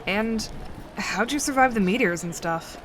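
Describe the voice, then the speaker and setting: A woman's voice: mid-range voice, lively intonation, unhurried, lightly acted. A woman playing a flirty, easygoing mother in an audio drama, with a casual, slightly hesitant way of talking. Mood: curious. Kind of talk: audio drama character acting. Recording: clear background noise.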